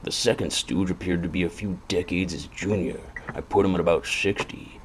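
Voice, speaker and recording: Over clear background noise comes a man acting as a noir private eye with a very low voice. He is gloomy and world-weary, delivering hard-boiled narration and dry retorts in a brooding deadpan. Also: normal speed, close to an everyday voice.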